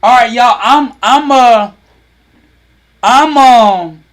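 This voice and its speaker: A man in his thirties hosting a lively talk, teasing and quizzing his online audience. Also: extremely high pitch, very slow. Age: 30s. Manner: animated, teasing, asks the audience questions. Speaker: host.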